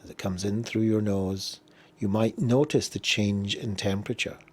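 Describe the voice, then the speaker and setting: Man guiding a slow body scan meditation. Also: on the low side, steady tone. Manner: gentle, unhurried instruction with pauses. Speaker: meditation guide.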